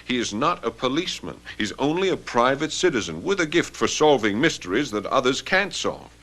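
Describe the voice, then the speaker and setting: A man's voice: medium pitch, sing-song, a little fast, a bit bright. A man acting in a radio drama as a jealous, ambitious young gendarme, earnest and dramatic in delivery. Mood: earnest, eager.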